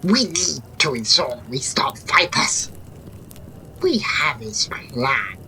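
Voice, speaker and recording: Woman playing a bright, mocking, swooping jester. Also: rumbling low, big pitch swings, takes it slowly, a little hiss or hum, heavily acted. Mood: urgent, sardonic. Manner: sarcastic, giggly, theatrical. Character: mocking, playful female jester, flippant yet shrewd. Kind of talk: fantasy audio drama acting.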